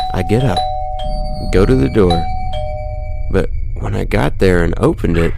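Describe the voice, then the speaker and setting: A man narrating a first-person scary story as a scripted reading. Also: low voice. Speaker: narrator of ghost stories. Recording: loud background music. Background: music bed.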